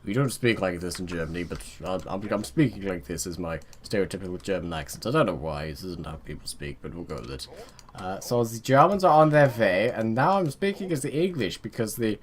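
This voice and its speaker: A man's voice: deep voice, expressive, fairly quick. Rambling man muttering through a strategy game. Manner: self-mocking, chatty. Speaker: let's play gamer. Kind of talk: casual gameplay commentary.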